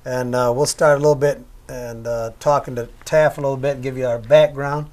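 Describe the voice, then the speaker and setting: A man's voice: mid-range voice, fairly even intonation, brisk, a little mellow. A man hosting a local community TV talk show, introducing his crew in a loose, rambling way. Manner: easygoing, rambling with fillers. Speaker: host.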